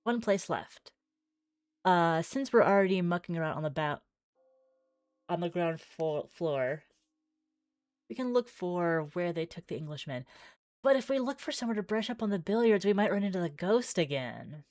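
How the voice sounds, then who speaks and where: A woman's voice: on the low side, expressive, moderate pace, long pauses, a little mellow, no room sound, studio quality. A woman streaming a visual novel, reading the game text aloud with theatrical flair and chatty asides.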